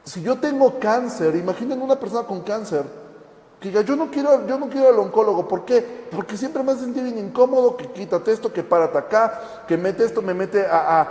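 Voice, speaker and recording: A man with a high, mellow voice preaches earnestly and poses rhetorical questions. He acts out the complaints of the people he addresses. His voice rings through a roomy hall with a long reverb. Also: animated, a little fast. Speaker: pastor. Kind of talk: sermon.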